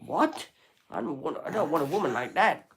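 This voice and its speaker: A man's voice: on the high side, very animated, brisk, a bit bright. An Australian man hosting a livestream, chatting casually and bluntly with plenty of swearing. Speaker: livestream host. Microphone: phone or camera on a livestream.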